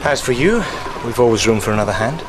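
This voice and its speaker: A man's voice: mid-range voice, animated, fast talker, close to an everyday voice. A man playing a stern naval captain. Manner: clipped, authoritative. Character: stern male naval captain, firm and commanding. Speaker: ship's captain. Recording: film soundtrack with loud sea noise.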